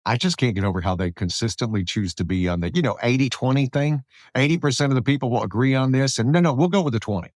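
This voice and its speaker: A man's voice: mid-range voice, animated, fast, a little mellow, dry recording, studio quality. A man hosting an interview podcast in a casual, conversational way.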